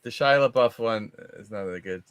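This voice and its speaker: A man's voice: medium pitch, moderate pace, fairly bright tone, clean tone, no echo. A man hosting a movie discussion show on a video call, chatting casually. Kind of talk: casual chat.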